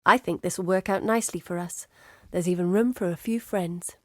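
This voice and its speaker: An actress playing an inquisitive, earnest young woman scholar in a video game, speaking in an articulate, measured, thoughtful way. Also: lowish voice, steady tone, a little mellow, no room sound, clean studio sound, close to an everyday voice. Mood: pleased, hopeful. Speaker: game character, scholar and map researcher. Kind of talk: scripted video game dialogue.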